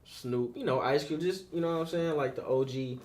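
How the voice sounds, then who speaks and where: A young man from Detroit, a rapper, talking about his music in a relaxed, slangy podcast chat. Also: on the high side, brisk. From Detroit, USA. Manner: laid-back, slangy, repeats "you know what I'm saying". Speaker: rapper being interviewed.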